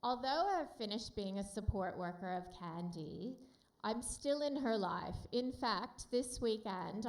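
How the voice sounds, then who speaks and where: A woman with an American accent giving a friendly, loud conference talk with many fillers to a room of support workers. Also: medium pitch, echoey room. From United States. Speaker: company speaker at a summit. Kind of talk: conference presentation.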